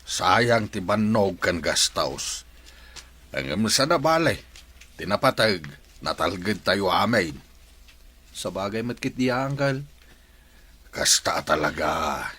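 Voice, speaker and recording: A man's voice: low voice, very animated, slow pace, pauses often, bright, forceful tone, husky, full character voice. A man with an Ilocano accent acting a sombre, sympathetic villager in a radio drama. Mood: heavy-hearted.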